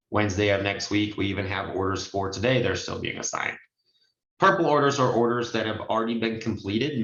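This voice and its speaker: A man's voice: on the low side, fairly bright tone. A man leading an informal screen-share training as a presenter, explaining things step by step and speaking directly to his listeners. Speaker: notary trainer and signing agency presenter. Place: indoors on a group video call. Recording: muffled, roomy online call audio.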